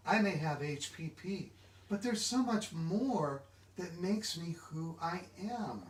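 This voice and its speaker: Male book reader, slightly high voice, reading aloud, roomy and distant recording. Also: lively intonation, slow pace. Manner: stumbles over long words. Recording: echoey room, distant microphone.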